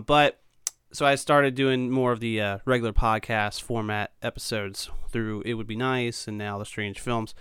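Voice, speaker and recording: A man's voice: mid-range voice, fairly bright tone, smooth, dry recording, clean studio sound. A male podcast host getting over a cold, a bit sniffly, talking in an upbeat, chatty way with lots of fillers. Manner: upbeat, chatty, many ums and uhs. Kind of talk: casual podcast chat.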